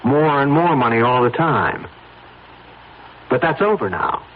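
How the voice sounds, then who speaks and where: A man's voice: mid-range voice, animated, measured pace, lightly acted. An actor playing a coolly coercive man in a radio drama, pointed and insistent as he persuades. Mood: persuasive, insistent. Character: coolly coercive man pressing a reluctant friend. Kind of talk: old-time radio drama acting. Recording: noisy, tinny old radio broadcast.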